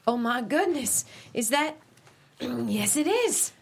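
A woman's voice: a little high, big pitch swings, takes it slowly, vocal fry, a little hiss or hum, exaggerated voice. An actress at a table read playing a sing-song, meddling mother. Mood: excited. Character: chirpy meddling Midwestern mom.

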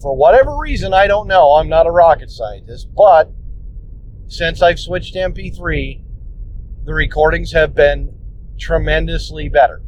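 A man's voice: expressive, moderate pace, darkish tone. A man hosting a podcast, casually explaining how he edits his episodes, rambling with restarts and mild swearing, recorded on a phone in a car. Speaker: podcast host. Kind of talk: casual instructional talk. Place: inside a car on the road. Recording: muffled phone recording with road noise. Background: road rumble.

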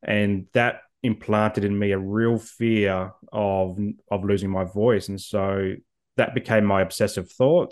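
Reflective man, low voice. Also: darkish tone. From Australia. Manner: reflective, frequent ums and repeated words. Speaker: guest. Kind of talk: personal story in an interview.

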